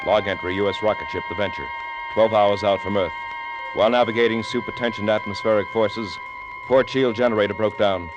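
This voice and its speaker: A fairly low-voiced American man acting as a commanding rocket ship captain in an old radio play. He is clipped and formal. The vintage recording sounds dull, with music clearly behind him. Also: fairly even intonation, fairly quick, natural voice. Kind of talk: 1940s radio drama acting.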